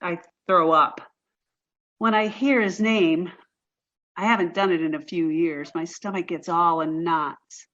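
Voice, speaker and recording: An actress playing an apologetic, grieving forty-three-year-old artist in a play reading, with a halting, emotional delivery. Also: female voice, lowish voice, fairly even intonation, a bit bright, highs cut off. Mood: upset, apologetic.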